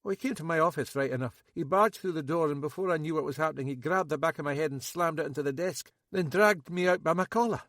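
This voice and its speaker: A man voicing a jittery, battered young man who talks fast and rambles anxiously, with a Scottish accent. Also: on the high side, no room sound, studio quality, voice pushed up, lightly acted. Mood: rattled. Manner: excitable, rambling, self-pitying.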